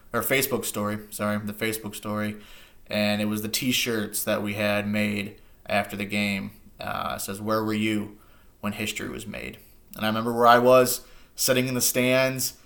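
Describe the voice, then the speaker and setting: A man's voice: fairly low pitch, a bit bright. An American man in his thirties reminiscing casually, in a sincere, nostalgic way. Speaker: host sharing a personal memory. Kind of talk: casual reminiscing monologue to camera.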